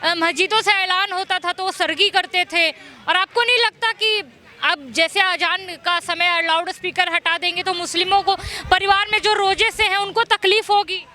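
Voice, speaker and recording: A woman with an extremely high, bright voice conducts a street interview. She probes her subject by putting the opposing side's views to them. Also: normal speed. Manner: probing, relaying opposing views. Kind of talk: interview questioning.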